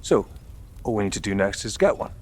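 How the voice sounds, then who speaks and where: A man's voice: fairly low pitch, lively intonation, brisk, a little hiss or hum, close to an everyday voice. A man playing a practical, long-suffering fantasy hero in a video game, with a dry, sardonic delivery. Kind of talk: video game character acting.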